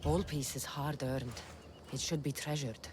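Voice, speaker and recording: A woman's voice: a bass voice, steady tone, unhurried, noisy background. An actress playing a confident, pragmatic young female mercenary in a video game, speaking directly and tersely. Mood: calm. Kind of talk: video game cutscene acting.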